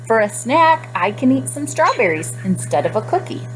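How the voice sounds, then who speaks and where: A woman voicing an eager, excitable young child in a storybook, with emphatic delivery. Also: a little high, moderate pace, music in the background, low-quality recording.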